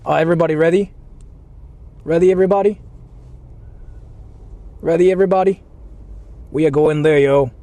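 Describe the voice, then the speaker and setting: A man's voice: fairly high pitch, steady tone, normal speed, pauses often, mellow, no room sound. A man with a Japanese accent, a native Japanese speaker, chatting casually and slangily on a livestream and often repeating himself. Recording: faint vehicle noise on live stream.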